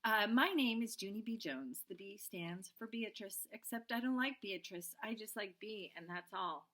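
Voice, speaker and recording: A woman voicing an excitable, blunt kindergarten girl in a children's storybook, with childlike, emphatic phrasing. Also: medium pitch, normal speed. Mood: chatty, matter-of-fact. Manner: childlike, emphatic, babyish grammar. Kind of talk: children's storybook read-aloud.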